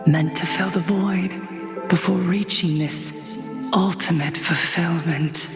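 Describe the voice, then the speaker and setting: A woman's voice: fairly low pitch, steady tone. A woman playing a godlike illusionist tempter in a video game, grandiose and coaxing, soothing with menace underneath. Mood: hypnotic, coaxing. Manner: slow, grandiose, coaxing delivery. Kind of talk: scripted video game voice acting. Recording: echoing voice over game music.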